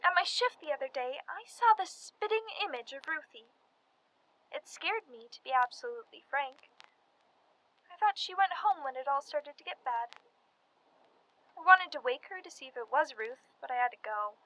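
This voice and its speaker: A woman's voice: sits high, expressive, normal speed, sharp tone, poor audio. A young woman playing a chatty but weary and frightened college student from 1918 in a radio play. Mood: haunted, uneasy. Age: young adult. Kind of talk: dramatized letter monologue, period radio play.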